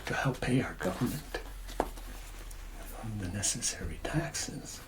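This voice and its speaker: A man doing a soft-spoken ASMR roleplay as a tax collector, hesitant and conspiratorial, often dropping into whispers. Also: on the low side, lively intonation, slow, rough recording.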